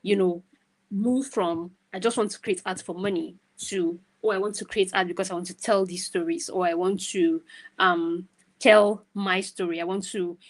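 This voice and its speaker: A woman's voice: on the low side, steady tone, normal speed, low-quality recording. A woman giving casual, conversational advice as a guest mentor on a video call, emphatic and often checking in with the listener. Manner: emphatic, often tags phrases with "right?".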